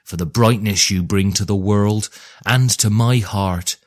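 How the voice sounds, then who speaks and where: A man playing a loving, gentle woodcutter father in a fairy tale, warm and declamatory. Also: fairly low pitch, a little slow, lightly acted. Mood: joyful, proud. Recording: music under the voice.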